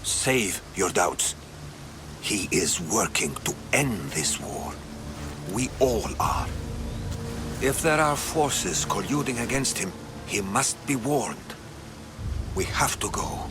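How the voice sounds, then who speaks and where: An actor playing an earnest, loyal Greek advisor in a video game, speaking in a measured, insistent way. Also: male voice, lowish voice, lively intonation, music in the background. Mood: firm. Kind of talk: video game cutscene acting.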